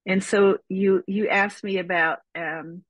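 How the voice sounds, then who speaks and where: A woman's voice: medium pitch, fairly even intonation, no room sound. A middle-aged woman from Israel, a spiritual teacher speaking as an interview guest in an earnest, reflective way. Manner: earnest, reflective, slow with emphatic phrasing. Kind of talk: interview conversation.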